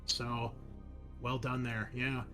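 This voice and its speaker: A man's voice: mid-range voice, level tone, slow pace, sharp tone, soft background music. A man chatting casually as game master and host after a tabletop game, in an informal, rambling, sometimes sweary way.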